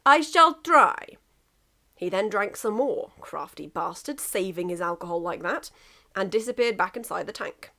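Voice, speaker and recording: A woman narrating a comic piece of fan fiction in an expressive, emphatic storytelling manner. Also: on the low side, moderate pace, creaky.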